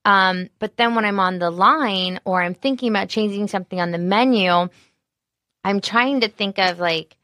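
A woman who is an executive chef, talking casually in an interview with a naturally loud voice and an animated, candid manner full of fillers. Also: medium pitch, normal speed, dry recording, clean studio sound.

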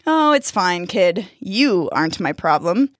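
A woman's voice: fairly low pitch, very animated, unhurried, dry recording. A woman acts out an irritable brother, a bodiless male head in a storybook tale. He sounds snappish and fed up, in a dry recording. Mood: weary, dismissive. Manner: exasperated, snappish. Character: grumpy irritable brother, a talking severed head.

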